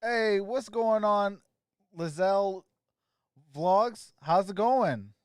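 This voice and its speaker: A man's voice: high voice, drawn-out words, clear, resonant tone, no echo, studio quality. A man hosting a sports livestream, mixing casual, friendly chat with viewers and informal play-by-play commentary. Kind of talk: casual live stream chat and game commentary.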